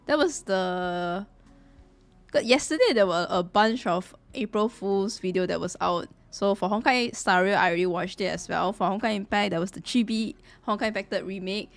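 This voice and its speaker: A woman's voice: medium pitch, moderate pace. A woman live-streamer chatting casually with her viewers, greeting them in a chatty way. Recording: music playing behind the voice. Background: background music.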